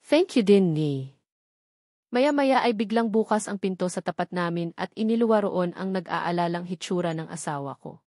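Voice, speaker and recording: A woman's voice: fairly low pitch, a little fast, a little mellow, no room sound, clean studio sound. A woman with a Filipino accent narrating a first-person romance novel as an audiobook reader.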